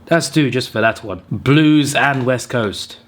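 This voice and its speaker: A hoarse man who is under the weather, hosting a channel and tallying wrong viewer tips with mock exasperation. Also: medium pitch, slow pace, a bit bright. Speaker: channel host. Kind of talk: casual commentary reading out viewer tips.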